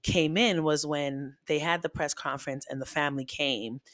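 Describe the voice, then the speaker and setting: Animated man, dry close sound. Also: a little high, moderate pace, no echo. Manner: animated and expressive, with frequent restarts; frank and self-teasing. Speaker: guest podcaster. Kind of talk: casual chat.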